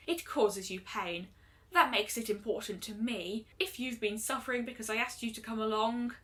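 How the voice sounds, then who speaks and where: A woman puts on a gentle royal mare for a story reading. She is composed and formal, speaking softly with quiet care. The tone stays regal and kind. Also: a little high, moderate pace, close to an everyday voice. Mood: concerned.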